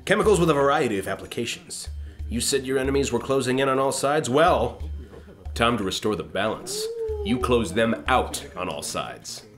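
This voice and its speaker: Man as brash starship captain, animated intonation, glib, petulant, comedic sci-fi radio drama. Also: medium pitch, moderate pace, music in the background, lightly acted. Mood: grandly proud. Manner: glib, pompous, hammy. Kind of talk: comedic science-fiction radio play acting.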